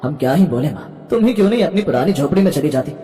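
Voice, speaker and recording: Woman doing selfish grown son, lowish voice, blunt, reverby cartoon. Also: lively intonation, fast talker, warm tone. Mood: dismissive. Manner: blunt, dismissive. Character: uncaring, dismissive grown sons of old mother. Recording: reverberant hall-like sound.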